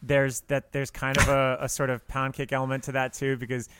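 Rambling, wry man, medium pitch. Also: little pitch movement, fairly quick, noisy background. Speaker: podcast co-host. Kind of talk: casual film discussion.

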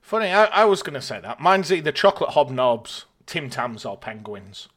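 A man's voice: on the high side, sing-song, moderate pace, fairly bright tone. A British man co-hosting a podcast, reading out listener questions and bantering in a jokey, colloquial, casual way.

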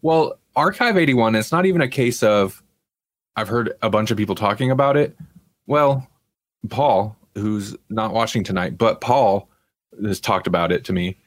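Male podcast host, lowish pitch, dry sound, rambling casual chat. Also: normal speed, no echo.

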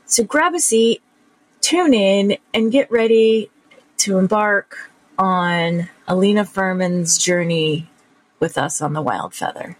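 A woman's voice: mid-range voice, slow pace, breathy edge. A woman hosting a podcast, introducing her guest with upbeat enthusiasm and asking questions. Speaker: podcast host. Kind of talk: podcast interview with read ad. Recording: music playing behind the intro.

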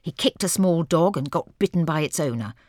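Deadpan female narrator, low register, measured comic storytelling, dry studio sound. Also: dry recording.

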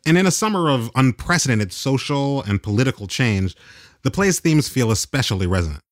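A man's voice: medium pitch, very animated, fairly quick. A man hosting a radio arts program, delivering scripted narration as a writer and critic. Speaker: host, writer and theater critic.

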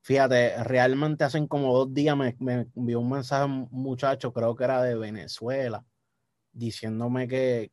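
A young man with a Puerto Rican Spanish accent, talking casually as a guest musician, relaxed and repeating words as he thinks. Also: medium pitch, no room sound, clean studio sound. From Puerto Rico. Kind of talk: casual interview chat.

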